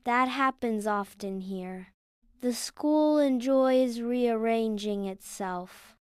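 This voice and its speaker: A narrator voicing a kindly, scholarly male library spider with a polite, precise, gently fussy manner. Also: mid-range voice, slow pace, clean tone, dry recording, clean studio sound, voice pushed up, close to an everyday voice. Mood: kindly, thoughtful.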